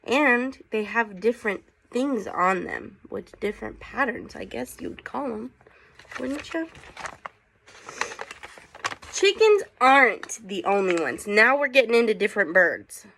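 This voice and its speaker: A woman's voice: fairly high pitch, expressive, gravelly, a bit bright, a little hiss or hum. A woman teacher reading a picture book aloud to children, casually prompting them with questions as she goes. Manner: unhurried, inquisitive, prompting listeners with questions.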